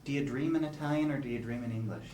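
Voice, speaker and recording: A man conducting a conversational interview, asking questions in a halting, rephrasing way. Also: mid-range voice, dark tone. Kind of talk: conversational oral-history interviewing. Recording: echoey room, distant mic, background noise.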